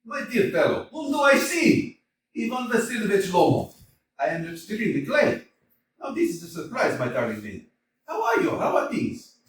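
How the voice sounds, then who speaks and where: Guy playing a jolly fussy old landowner dad, highish voice, far away in a big echoey room. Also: wildly swooping pitch, normal speed. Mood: effusive. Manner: effusive, booming, laughs often, pet names. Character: jovial gushing older landowner, father of the bride. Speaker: actor in a staged reading. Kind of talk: staged play reading. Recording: roomy hall from a distance, faint hiss.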